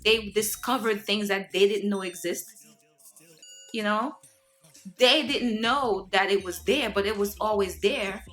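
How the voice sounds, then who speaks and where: A woman's voice: mid-range voice, unhurried, fairly bright tone. A woman from Haiti talking earnestly to camera, lecturing her viewers with many fillers and restarts. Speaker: vlogger. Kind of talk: casual monologue to camera.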